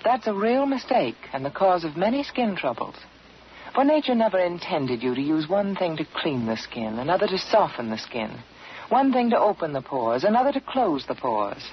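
Animated woman reading an old radio advert. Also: on the low side, moderate pace. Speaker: beauty advertising spokeswoman. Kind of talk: scripted 1940s radio advertisement. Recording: dull vintage radio, faint hiss.

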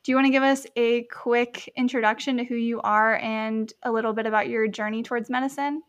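A woman's voice: on the high side, fairly even intonation, brisk, clear, resonant tone, dull audio. A woman in her twenties hosting a podcast interview, friendly and upbeat, talking casually with fillers like "like" and "you know". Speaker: podcast host.

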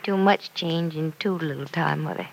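Earnest, halting young American woman in love. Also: sits low, creaky, exaggerated voice, low-quality recording. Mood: hesitant, thoughtful. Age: young adult. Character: earnest, love-struck, self-doubting young daughter. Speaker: radio drama actress. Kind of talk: old-time radio soap opera acting. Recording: vintage radio transcription.

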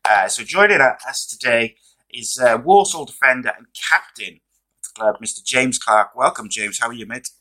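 Hoarse guy hosting a podcast, bright voice, chatty and laid back, lots of ums and mates. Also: mid-range voice, normal speed, rough recording. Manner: relaxed, chatty, friendly with fillers. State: losing his voice. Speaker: podcast host. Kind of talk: casual podcast chat. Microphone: podcast microphone.

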